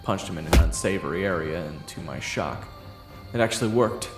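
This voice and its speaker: A man's voice: low voice, expressive, normal speed. A man narrating a first-person audio roleplay in a dramatic storytelling way. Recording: echoey room with loud music.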